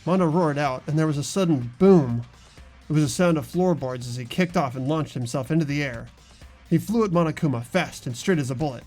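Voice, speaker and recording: A man's voice: on the high side, brisk, a little mellow, faint music behind, close to an everyday voice. A man playing an earnest young student who narrates events in first person for a fan game dub. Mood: tense narration. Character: earnest ordinary young male student narrating his ordeal.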